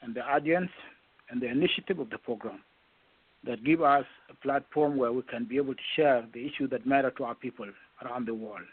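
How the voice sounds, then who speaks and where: Halting South Sudanese man on muffled line. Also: mid-range voice, slightly rough. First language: not English. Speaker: guest, presidential candidate. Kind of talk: radio interview, personal account.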